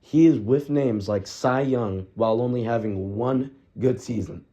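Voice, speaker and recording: A man with a raspy, sick-sounding voice narrating baseball history casually, loose and rambling, correcting himself as he goes. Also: medium pitch, unhurried, warm tone, muffled recording. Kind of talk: casual narration over game footage.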